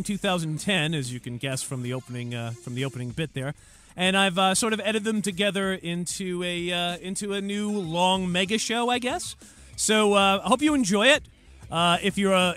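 A man's voice: high voice, a bit bright. A man hosting a comedy podcast, talking casually and solo in a wry, self-deprecating, rambling way.

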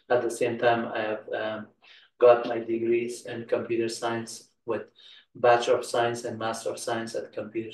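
A middle-aged man from Afghanistan, a native Persian speaker with an Afghan accent, giving an informal, halting and meandering tutorial talk. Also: mid-range voice. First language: Persian (Farsi). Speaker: computer science instructor. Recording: roomy echo, distant microphone.

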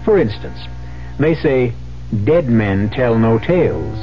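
Male mystery narrator, sardonic and suspenseful, measured delivery, vintage radio sound, soft music underneath. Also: medium pitch, big pitch swings. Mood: wry, ominous. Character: wry, ominous mystery story host. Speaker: host and narrator. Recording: muffled old radio broadcast, faint music.

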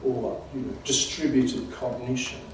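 A man's voice: mid-range voice, fairly even intonation, unhurried, slight background noise. An older man explaining academic ideas on a conference panel in a precise, lecturing way with some stumbles. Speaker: keynote speaker. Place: lecture hall, indoors, standing. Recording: echoey hall from a distance.

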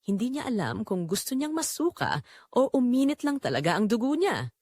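A woman's voice: on the high side, big pitch swings, normal speed, darkish tone, no room sound, clean studio sound. A woman narrator reading a scripted dramatic story.

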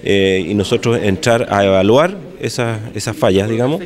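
A man's voice: fairly low pitch, normal speed. A man, an engineering consultant explaining plans methodically in a wood-paneled room into handheld microphones. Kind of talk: press statement. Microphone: cluster of handheld microphones.

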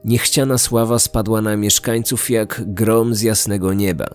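A man's voice: on the low side, fairly even intonation, normal speed, faint music behind. A man delivering scripted documentary narration as the host of a true-crime podcast.

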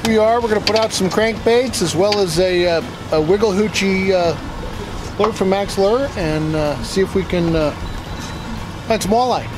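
A man's voice: high voice, moderate pace. A middle-aged man, a fishing guide, explaining lures and trolling in a matter-of-fact way from a small boat outdoors on a lake, on a lapel mic. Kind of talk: on-the-water fishing show explanation. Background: background music.

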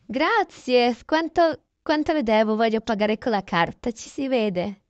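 High-pitched chatty woman, dull recording. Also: unhurried, dry recording. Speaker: narrator voicing a toy-game roleplay video. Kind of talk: casual improvised roleplay narration of a daily routine.

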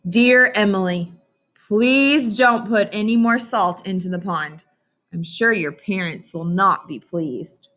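A woman voicing a polite, patient male schoolteacher in a storybook, reading correcting letters in a measured, formal way. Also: mid-range voice, a bit bright. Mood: patient, firm.

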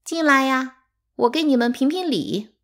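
A woman's voice: mid-range voice, huge pitch swings, a little slow, fairly bright tone, exaggerated voice. An imperious matron from a storybook, played by a woman reader. She is spiteful and mocking under a syrupy sweetness, every phrase loaded with insinuation. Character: domineering, falsely sweet wealthy wife, spiteful and mocking.

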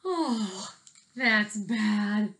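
A woman, talking casually and reading to children in an animated, expressive way, like an elementary teacher. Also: medium pitch, very slow. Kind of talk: casual video message and children's read-aloud. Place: small bedroom at home, indoors. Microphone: laptop webcam at desk distance.

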